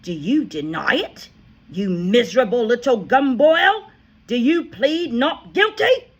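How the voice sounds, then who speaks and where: A towering, furious headmistress hurls insults in a loud, barking voice. The voice sits far higher than the narration and drags each word out slowly for menace. A bright, breathy tone runs underneath the bellowing. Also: sing-song, full character voice. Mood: screaming insults. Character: furious bullying female headmistress, huge and tyrannical. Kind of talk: audiobook character voice.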